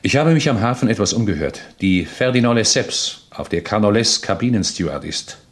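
Junior detective in radio crime drama, male actor: lowish voice, animated intonation, keen, methodical, insistent. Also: brisk, close to an everyday voice. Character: keen, persistent male junior detective reporting to his superior. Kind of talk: radio play acting.

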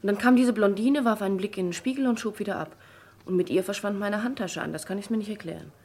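Woman acting young female, excited, fast-talking, husky breath, confiding, radio crime play. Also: medium pitch, natural voice. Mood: agitated, confiding.

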